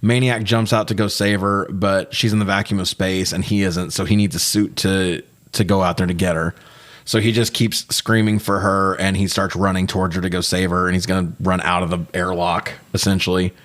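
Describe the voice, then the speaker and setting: A man co-hosting a podcast, chatting casually through a movie recap in a jokey, swear-heavy way that gets animated when he is riled. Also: sits low, a little fast. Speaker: podcast co-host.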